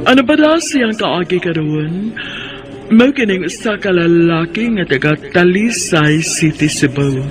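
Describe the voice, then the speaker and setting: A man's voice: sits high, animated, unhurried. A man announcing a radio drama in a dramatic style. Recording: loud theme music behind voice.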